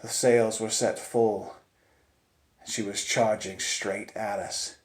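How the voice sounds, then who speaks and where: A man telling a suspense story in the first person, theatrical and deliberate, with dramatic pauses and swings between hushed and urgent delivery. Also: lowish voice, steady tone. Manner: theatrical, swinging from hushed near-whispers to loud urgent peaks. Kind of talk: dramatic live oral storytelling of a suspense tale. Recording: roomy, echoing space.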